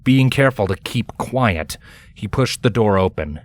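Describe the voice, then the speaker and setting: Low-voiced man narrating a story. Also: expressive, unhurried, no room sound. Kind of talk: scripted story narration, fan fiction reading.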